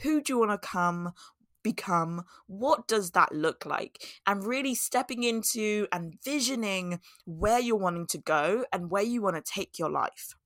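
A woman hosting her own podcast, talking casually with a voice hoarse and scratchy from a cold, laughing at herself and repeating her key points. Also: mid-range voice, animated, a little slow, a bit bright, dry recording, studio quality. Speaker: podcast host. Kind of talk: casual solo podcast talk.

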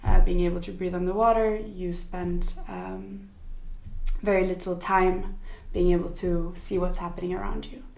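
A woman's voice: on the low side, little pitch movement, normal speed, vocal fry, a little mellow, reverberant, poor audio. A young woman from Sweden, a podcast guest, speaking thoughtfully with many fillers and restarts. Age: young adult. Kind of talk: casual interview.

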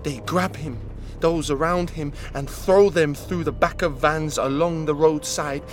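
A man narrating an audio drama intensely, sometimes dropping to a hushed whisper. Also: fairly high pitch, steady tone, measured pace, soft background music.

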